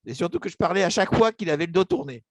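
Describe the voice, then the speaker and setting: A man's voice: sits high, very animated, very fast, no echo, clean studio sound. An older man from Egypt telling his memories in an interview, hesitant, with many restarts and fillers. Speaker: author interviewed about his book. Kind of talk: casual interview, personal memories.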